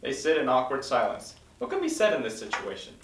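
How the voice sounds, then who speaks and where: A man reads stage directions as scripted narration. His voice is of average pitch. It sounds echoey and somewhat distant from the microphone. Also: huge pitch swings, a little fast, a bit bright. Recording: echoey room, distant mic.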